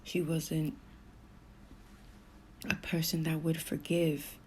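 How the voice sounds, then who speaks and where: A middle-aged woman telling a personal spiritual story in a hushed, whispery, rambling way. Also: low voice, level tone, takes it slowly, slight background noise. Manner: rambling, repeats herself.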